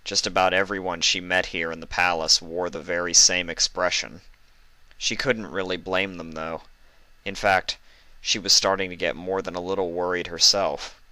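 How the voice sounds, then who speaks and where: A man reading stories as a host, with expressive narration into a close microphone. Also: low-pitched, normal speed, no echo. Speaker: story reader and channel host. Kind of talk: expressive scripted storybook narration with casual introduction.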